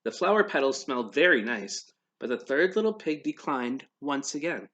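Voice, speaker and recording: A man reading a storybook aloud to children in an expressive, teacherly way, putting questions to his listeners. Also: mid-range voice. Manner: pauses to quiz young listeners.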